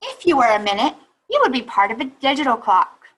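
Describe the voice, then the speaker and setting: Animated woman reading aloud to children. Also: fairly high pitch. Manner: instructive, pauses to think aloud and explain. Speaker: read-aloud teacher. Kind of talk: children's picture book read aloud with teaching asides.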